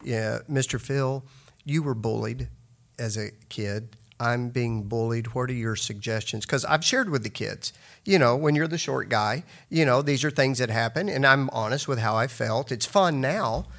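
A man's voice: medium pitch, normal speed, gravelly, a bit bright. A man who directs a summer camp, plainspoken and encouraging, giving advice to parents. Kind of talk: conversational interview.

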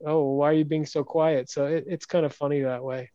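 A man hosts a podcast interview in a soft, hesitant manner and often restarts his sentences. His voice sits a bit high. The audio is dry and dull, as if muffled. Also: steady tone, brisk, clean tone, no echo. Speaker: podcast host. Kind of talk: casual interview chat.

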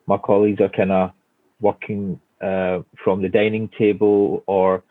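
A Scottish man with a Glaswegian accent talks through his answers, stopping often with fillers and restarts. His voice sits fairly low and comes over a thin, phone-like line. The sound is dry, with no room around it. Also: level tone, measured pace, no echo. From Scotland. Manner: hesitant, many fillers and restarts. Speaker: guest architect. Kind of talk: casual interview answers. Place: home office at home.

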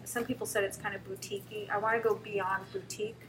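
A woman's voice: mid-range voice, little pitch movement, brisk, noisy background. An older woman, a shop owner, talking casually in an interview with fillers and restarts.

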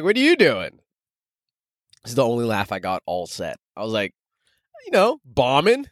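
A man's voice: a little high, a bit bright, no room sound, clean studio sound. A man hosting a solo podcast, rambling casually and loosely in informal, sweary language like an amateur comedian.

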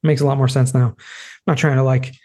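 A man's voice: mid-range voice, flat delivery, quick pace, warm tone. A man hosting a casual interview podcast, asking rambling questions with restarts and easy laughter. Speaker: podcast host.

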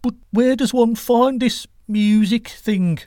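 A man's voice: slow pace, warm tone, dry recording, studio quality. A man voicing an indignant, flustered wind god who is easily riled, in a comic story. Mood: puzzled. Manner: high, flustered, indignant exclaiming.

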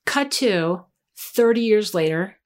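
A woman in her forties, a guest in a casual chat, telling a childhood story in a lively, chatty way. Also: mid-range voice, takes it slowly. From Maryland, USA. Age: 40s.

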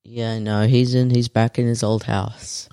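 A man's voice: mid-range voice, level tone, measured pace, warm tone. Easygoing teenage boy. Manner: easygoing, short replies. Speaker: podcast co-host, son. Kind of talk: casual chat.

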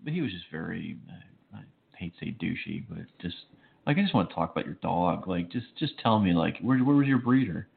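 A man's voice: low-pitched, expressive, vocal fry. A man co-hosting a casual radio chat, teasing his partner dryly and restarting his sentences often. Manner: dry teasing, halting restarts. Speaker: co-host. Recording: phone-like narrow band.

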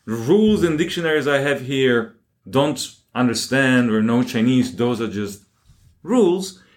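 A man speaking as an academic guest in an interview, explaining things deliberately and with emphasis. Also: medium pitch, animated.

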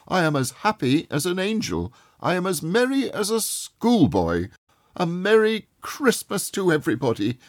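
A man voicing an elderly reformed miser, breathless and overjoyed, exclaiming in a high, excited voice. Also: slightly rough, no echo, clean studio sound, heavily acted.

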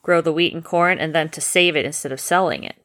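A woman's voice: deep voice, quick pace, fairly bright tone. A woman reading a children's bedtime story as a parent, explaining and checking with questions.

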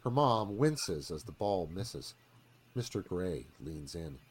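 A man narrates a screenplay for radio theatre. His voice is on the low side, with animated rises and falls and a dramatic delivery. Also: slow pace, a little hiss or hum.

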